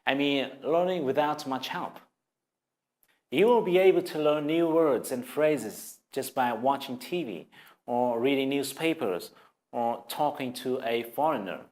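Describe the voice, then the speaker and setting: A man's voice: medium pitch, unhurried, a little mellow. A man with a Vietnamese accent, a native Vietnamese speaker, giving an earnest, encouraging English lesson straight to the viewer. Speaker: language teacher. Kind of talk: scripted video lesson.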